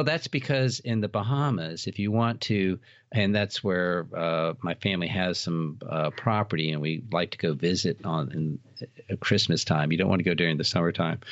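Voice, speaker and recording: A middle-aged American man with a low voice chats as an interview guest. He talks in a halting, wandering way, explaining and reminiscing casually. The recording is dry and somewhat muffled. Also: no room sound. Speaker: podcast guest, author and haunted house operator.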